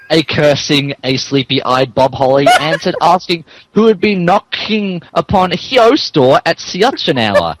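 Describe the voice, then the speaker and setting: A man's voice: medium pitch, poor audio. A man narrating a comic dramatic reading of fan fiction, mock-serious and amused. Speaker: narrator, podcast host.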